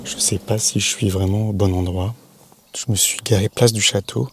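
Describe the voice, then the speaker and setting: A man hosting a podcast, telling his reporting in the first person in a calm, level way. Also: lowish voice, moderate pace, noisy background. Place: in a car.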